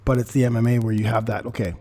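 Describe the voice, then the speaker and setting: A man's voice: mid-range voice, flat delivery, racing, dark tone. A man hosting a casual chat, speaking emphatically, repeating phrases and swearing freely. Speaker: podcast host.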